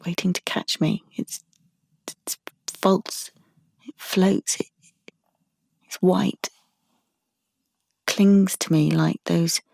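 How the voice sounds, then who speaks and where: A withdrawn young British woman tells her story in an intimate audio drama monologue. Her voice is fairly low with a creaky edge, recorded dry and close, and she sounds haunted and hesitant. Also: dark tone, no echo, exaggerated voice. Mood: haunted, subdued. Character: withdrawn, haunted young woman traumatised by surveillance and a leaked photo.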